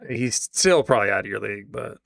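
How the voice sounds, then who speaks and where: A man's voice: on the low side, expressive, fast, creaky, a bit bright, no room sound, studio quality. A man streaming his reactions to a video in blunt, incredulous casual talk, swearing freely.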